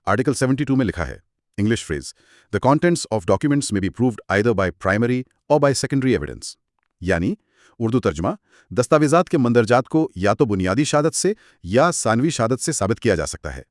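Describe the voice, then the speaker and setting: A man's voice: mid-range voice, sing-song, normal speed, no room sound, clean studio sound. A man, co-hosting an educational dialogue in a conversational, inquisitive way. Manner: inquisitive, rephrases points in simple terms. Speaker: co-host posing questions.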